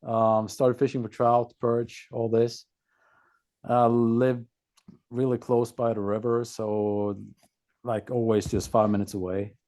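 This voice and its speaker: A man from Norway with a Norwegian accent, a podcast guest telling his own story casually in a halting, laconic way. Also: medium pitch, little pitch movement, a little mellow.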